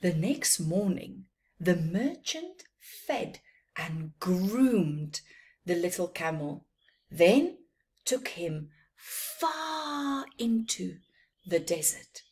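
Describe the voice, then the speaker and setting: A woman's voice: fairly low pitch, very animated, darkish tone. A middle-aged woman with a South African accent and Afrikaans as her first language, reading a story to children emphatically with teacherly asides. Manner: slow, deliberate, emphatic delivery with teacherly asides. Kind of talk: expressive read-aloud storytelling to children. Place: small plain room at home, indoors.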